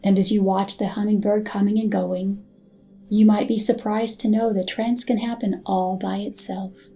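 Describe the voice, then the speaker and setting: A woman narrating a scripted hypnotic guided meditation in a soothing, soft and unhurried manner. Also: mid-range voice, flat delivery, husky. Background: background music.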